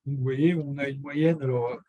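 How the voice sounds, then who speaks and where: A man giving an explanatory presentation in a halting delivery, searching for his words. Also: medium pitch, steady tone, no room sound, highs cut off.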